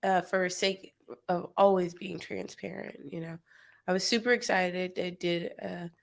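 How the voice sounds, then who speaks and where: A woman with a lowish, gravelly voice co-hosting a podcast. She talks slowly and candidly, in a soft-spoken manner. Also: steady tone. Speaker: podcast co-host. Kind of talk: casual podcast chat.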